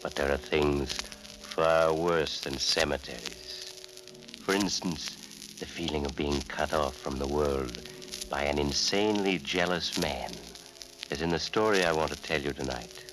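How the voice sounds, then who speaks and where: A macabre horror host with an extremely deep voice. He narrates in brooding, deliberate, theatrical 1940s radio style, every phrase ominous. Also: over music, poor audio.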